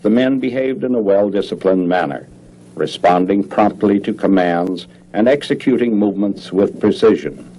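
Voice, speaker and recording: An American man reading scripted narration for a 1950s government educational film in a formal, measured, clearly enunciated manner. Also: lowish voice, dark tone, poor audio.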